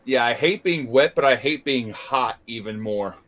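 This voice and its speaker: A man with a medium-pitched voice plays in a tabletop role-playing game. He casually states what his character is doing and thinking. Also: moderate pace, fairly bright tone. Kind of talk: casual role-play chat.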